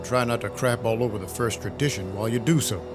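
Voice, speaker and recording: A man's voice: lowish voice, fast, lightly acted. A man playing a dry, brooding, world-weary vampire detective with terse noir delivery in an audio drama. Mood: exasperated, protective. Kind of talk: first-person noir narration, audio drama acting. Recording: loud music behind the voice.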